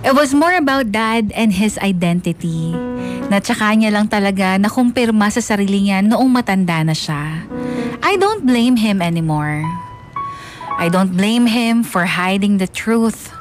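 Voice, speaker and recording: A woman with a Filipino accent narrates a personal story as a radio host. Her pitch sits in the middle and the delivery is dramatic storytelling. Light music plays behind her. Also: animated, measured pace. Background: background music.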